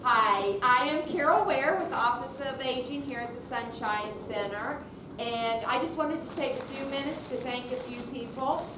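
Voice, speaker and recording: Woman organizer, high voice, chatty closing thanks to an audience, noisy distant pickup. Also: normal speed. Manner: chatty, informal. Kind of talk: informal thank-you speech to an audience. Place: room at a senior center. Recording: roomy, distant mic, noisy.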